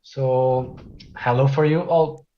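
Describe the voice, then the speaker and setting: A man from Brazil whose first language is Portuguese, giving a technical presentation as a developer, with frequent "uh" fillers and measured delivery. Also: medium pitch, fairly even intonation, highs cut off, slight background noise. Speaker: conference speaker, software developer.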